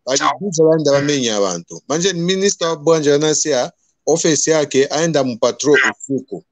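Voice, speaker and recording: A man with a Malawian accent calling in to a live show, slowly complaining in a local language. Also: a little high, animated, dry recording. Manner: long slow rant in a local language. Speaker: caller. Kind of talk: phone-in complaint.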